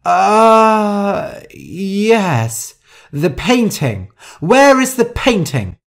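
A woman's voice: low voice, lively intonation, slow, a little mellow, voice pushed up, heavily acted. A woman voicing a haughty, vain, hot-tempered older heiress in an audiobook story, with an imperious, theatrical manner. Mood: furious.

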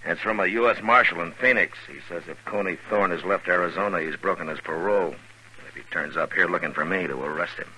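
An actor playing a steady, weary Old West lawman in a 1950s radio western, plainspoken and measured. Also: deep voice, slight background noise, poor audio. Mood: dry, concerned.

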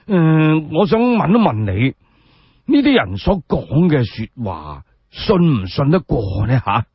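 Man acting self-indulgent old duke, slow hesitant drawl, husky, swooping pitch, radio story, slightly dull recording. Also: very animated, no room sound, heavily acted. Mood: musing, questioning.

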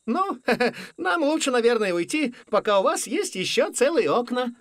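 A man's voice: very high voice, very animated, moderate pace, fairly bright tone, no room sound, exaggerated voice. A man voicing a friendly, apologetic kangaroo father in a preschool cartoon dub, speaking a little hesitantly. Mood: sheepish.

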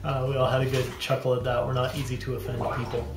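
A man's voice: mid-range voice, monotone, fast, roomy sound, crowd noise. A man in his thirties chatting casually to camera as a dad vlogger, rambling and self-deprecating, recorded on a handheld camera in a small bathroom. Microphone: handheld camera at arm's length.

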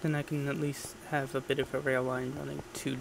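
A man's voice: mid-range voice, level tone, fairly quick, creaky, noisy background. A man giving casual gameplay commentary in an offhand way, muttering to himself as he records an audio log. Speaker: gamer recording audio logs.

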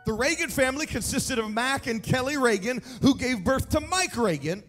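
A man, preaching an energetic sermon with a handheld mic on a large church stage, emphatic and shouting key points. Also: very high voice, normal speed, faint music behind. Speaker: pastor. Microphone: handheld microphone. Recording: echoey hall, mic set back.

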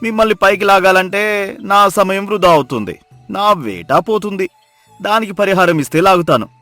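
A man playing a mocking forest hunter who drives a hard bargain, loud and taunting, in a moral story. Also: sits high, lively intonation, moderate pace, no room sound, faint music behind, voice pushed up. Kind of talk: dramatized moral story acting.

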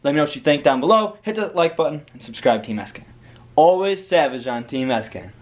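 A young man hosting a prank vlog in casual, slangy chat, his voice strained and nearly gone from shouting. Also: a little high, expressive, a little fast. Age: young adult. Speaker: prank video host. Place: living room, indoors. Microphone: camera at arm's length. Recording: echoey room, some background noise.

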